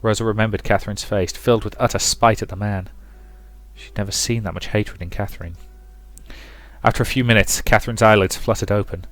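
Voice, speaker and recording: A man's voice: lowish voice, fairly even intonation, brisk, a bit bright. A man reading a visual novel aloud as dramatic storybook narration for a let's play.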